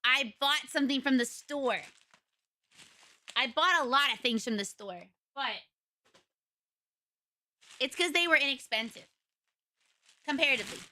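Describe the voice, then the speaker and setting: A young woman streamer with a raspy voice, chatting casually and rambling to her viewers from home. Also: high voice, unhurried, long pauses, pushed and bright, no echo. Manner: chatty, rambling, retells conversations.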